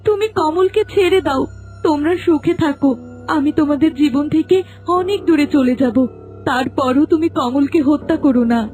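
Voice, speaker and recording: A devoted young sweetheart with a very high voice, played by a woman. She pleads in a melodramatic cartoon manner, with music underneath. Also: moderate pace, mellow, lightly acted. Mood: pleading.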